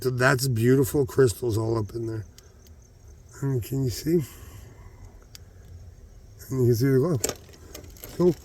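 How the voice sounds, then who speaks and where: A middle-aged man chatting informally to viewers in a rambling vlog, halting as he thinks aloud and repeating words for emphasis. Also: medium pitch, a little mellow. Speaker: vlogger, hobby rockhound.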